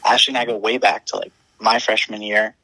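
Young guy on a podcast call, low steady voice, dry sound. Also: a little fast, no echo, poor audio. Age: 20s. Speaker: podcast guest. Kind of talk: casual chat.

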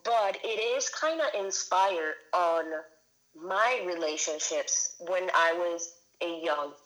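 A woman in her thirties from Venezuela, a filmmaker answering interview questions. Her voice sits a little low, and she talks casually, with frequent fillers and restarts as she gathers her thoughts. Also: slow, a bit bright, low-quality recording. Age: 30s. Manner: hesitant, many restarts and fillers. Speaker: guest filmmaker.

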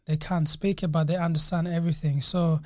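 A man's voice: fairly high pitch, level tone, fairly quick, no room sound. A young man from Congo whose first language is Kinyarwanda, talking as a podcast guest in an easygoing, loose way. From Congo, raised in Uganda. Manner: easygoing, talks in short loose phrases with many likes and yeahs. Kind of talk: casual interview.

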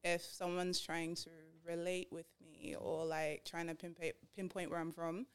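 A woman chatting casually, telling things in an animated, conversational way. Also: lowish voice, moderate pace, smooth. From Australia. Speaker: podcast guest DJ. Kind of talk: casual chat.

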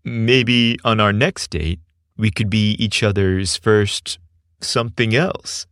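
A man's voice: fairly low pitch, slow, a bit bright, clean tone, no room sound, clean studio sound. Man as a sweet, hesitant young guy. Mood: tender.

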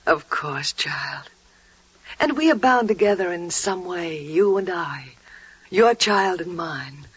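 Gentle older woman, muffled old radio. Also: lowish voice, animated, takes it slowly, breathy edge, exaggerated voice. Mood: tender, awed. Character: older devout expectant woman, gentle, protective cousin. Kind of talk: 1940s radio drama acting. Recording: dull, roomy 1940s radio recording.

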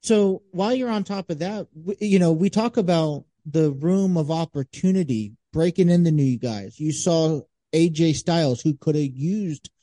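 Man, very dark mellow timbre, somewhat high pitch, casual podcast guest, conversational with emphatic asides. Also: moderate pace, hardly any pauses, no echo. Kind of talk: casual wrestling discussion.

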